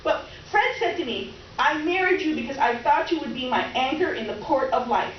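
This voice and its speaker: A woman's voice: high-pitched, animated, fairly quick. A woman playing a dramatic, scatterbrained middle-aged houseguest who rambles and overshares in a comic stage reading. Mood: confiding. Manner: rambling, self-absorbed, theatrical. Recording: distant echoey room, muffled, faint noise.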